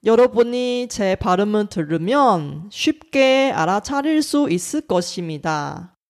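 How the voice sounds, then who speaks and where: A woman from Taiwan, a native Chinese speaker with a Taiwanese accent, giving a scripted lesson as a language teacher in a careful, measured way. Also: mid-range voice. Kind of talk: scripted educational narration.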